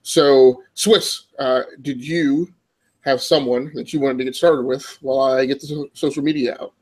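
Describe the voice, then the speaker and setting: A man's voice: medium pitch, fairly even intonation, normal speed, a little mellow. A man hosting a gaming podcast, congested from a cold, talking in a rambling way with many fillers. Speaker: podcast host. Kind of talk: casual podcast chat.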